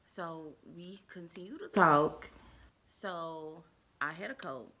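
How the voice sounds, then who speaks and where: A woman's voice: sits low, slow, bright, forceful tone. A woman, telling a personal story to her viewers in a rambling, casual way, full of fillers and asides, acting out what people said. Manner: acts out quoted dialogue in a raised voice. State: stuffy and under the weather.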